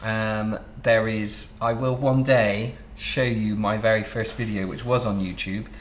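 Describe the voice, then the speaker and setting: A man in his thirties from Southwest England hosting a relaxed interview, chatting and explaining at length. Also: on the low side, measured pace, echoey room, a little hiss or hum. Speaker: interview host, wedding photographer. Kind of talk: casual interview. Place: home studio office, indoors.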